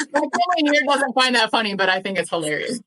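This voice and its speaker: A woman's voice: a little high, big pitch swings, normal speed, fairly bright tone, poor audio. A young woman in a casual podcast interview, talking about her farm and language work in an enthusiastic, chatty way with many fillers. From United States. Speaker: guest, language educator and dairy farmer.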